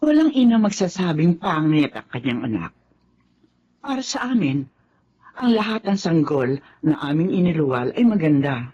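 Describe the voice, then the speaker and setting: A woman's voice: sits low, very animated, moderate pace, warm tone, breathy edge, dull audio, exaggerated voice. An actress playing a loving middle-aged mother who speaks tenderly and reassuringly. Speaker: mother in a radio drama. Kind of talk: radio drama acting.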